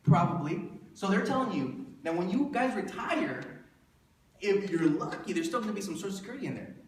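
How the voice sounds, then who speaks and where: A very echoey, distant recording of a man of thirty with a slightly high voice. He delivers a motivational talk to an audience, conversational and full of rhetorical questions, driving points home by repetition. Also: expressive, fairly quick. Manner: conversational, rhetorical questions to listeners, repeats for emphasis. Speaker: motivational presenter pitching a business plan. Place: indoor room before an audience. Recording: echoey room, distant mic, faint noise.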